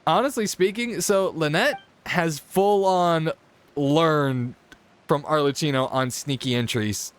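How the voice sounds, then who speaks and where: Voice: medium pitch, slow pace. A game streamer giving casual live commentary, reactive and joking, with some swearing. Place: game stream, game music behind.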